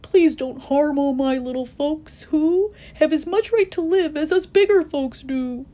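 A gentle, protective male elephant from a children's storybook, played by a woman. The voice is earnest and emphatic, often lifting high with wide swings of pitch. He pleads sincerely for those smaller than himself. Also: very animated, unhurried. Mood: desperate.

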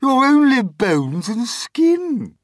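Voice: sits high, big pitch swings, drawn-out words, no echo, clean studio sound, pitched above the performer's own voice, heavily acted. Aunt Sponge, a vain, pompous old aunt, as a reader puts her on. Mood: vain bossy.

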